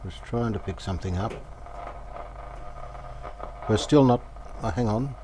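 A man giving casual, unhurried commentary as he works, thinking aloud. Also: fairly low pitch. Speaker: host, radio restorer. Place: small cluttered indoor workshop. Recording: clear background noise, home workshop.

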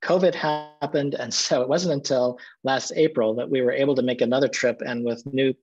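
A man's voice: mid-range voice, fairly even intonation, moderate pace, music in the background. A middle-aged man, a seminary president, telling stories in an earnest, reflective way during a video-call interview from his office. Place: office with bookshelves. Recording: muffled video-call audio.

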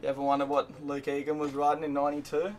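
A man's voice: a little high, monotone, fast, noisy background. A young man chatting casually and enthusiastically in slang as he guides a tour. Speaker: surfboard factory tour guide. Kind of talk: casual chat. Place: indoor surfboard factory.

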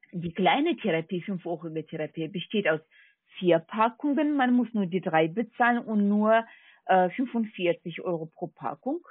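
A non-native speaking woman with a foreign accent, working as a call center agent, giving a halting, repetitive, polite sales pitch over the phone. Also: husky, no room sound. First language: not German. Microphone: telephone. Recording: tinny, narrowband phone line.

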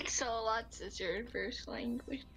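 A woman's voice: fairly high pitch, slow, vocal fry, fairly bright tone, poor audio. A woman streamer chatting casually in a voice call while gaming, reading chat aloud. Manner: reads chat aloud, playful. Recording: faint game music behind.

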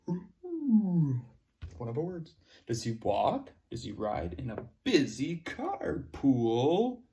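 A young man teaching young children, playful and animated, cheering them on and making sound effects while he reads a picture book aloud in a classroom. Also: on the high side, very slow, creaky, a little hiss or hum. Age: young adult. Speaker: preschool teacher. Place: classroom with word cards on a board.

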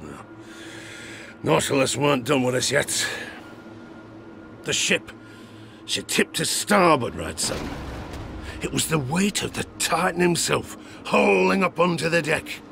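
Gravelly, theatrical old sailor. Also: male voice, a little high, lively intonation, unhurried, pauses often, noisy background. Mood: grim, dramatic. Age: older. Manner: salty sailor's dialect, chuckling, theatrical asides. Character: grizzled old harpooner spinning a tavern sea tale, boastful, haunted. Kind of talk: dramatic audio drama storytelling.

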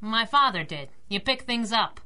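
A woman playing a scrappy, sharp-tongued young cab driver in a 1930s-style radio drama, brash and wisecracking. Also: on the high side, lively intonation, normal speed. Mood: guarded, defiant.

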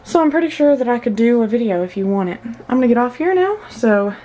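A woman's voice: fairly high pitch, lively intonation, quick pace, dark tone, rough recording. Chatty young girl touring her toy barn. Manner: chatty, gushing, rambling with fillers. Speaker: vlogger. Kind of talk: casual toy collection tour. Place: bedroom at home. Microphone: handheld camera mic.

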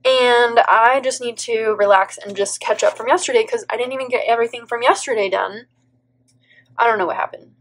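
A woman's voice: a little high, fairly even intonation, a little fast. A woman in her thirties, stuffy from allergies, chatting casually as she thinks aloud, peppered with "like" and little laughs. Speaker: planner vlogger and teacher. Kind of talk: casual plan-with-me voiceover. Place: indoor home table, overhead camera on planner.

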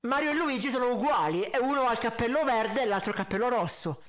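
A man, giving sarcastic, animated reaction commentary into a close desk mic. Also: extremely high pitch, fast. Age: 20s. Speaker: reaction video host. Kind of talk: casual mocking video commentary. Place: indoor room at a desk. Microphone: close desk condenser mic with pop filter.

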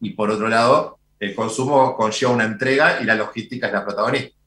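A man's voice: on the low side, fast talker, fairly bright tone. A middle-aged man with an Argentine accent hosts an interview over a video call, wearing headphones. He asks long, winding questions, repeating words as he restarts. His voice sounds roomy and echoey. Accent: Rioplatense Spanish. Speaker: TV show host. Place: indoor studio with branded backdrop.

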